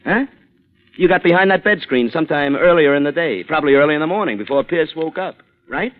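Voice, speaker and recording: A man's voice: on the high side, expressive, quick pace, a bit bright, rough recording. A man playing a shrewd, defiant reporter in an old-time radio suspense drama, pointed and wry as he speaks. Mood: probing, accusing. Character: hard-boiled male reporter, shrewd, defiant, accusing. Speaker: lead actor and narrator. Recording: vintage radio broadcast sound.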